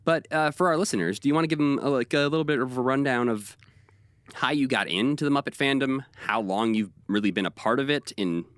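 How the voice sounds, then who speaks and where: A man's voice: mid-range voice, quick pace, dry recording. A man from Chicago co-hosting a podcast, chatting casually over a video call. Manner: upbeat, animated introductions. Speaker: podcast co-host. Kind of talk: casual chat.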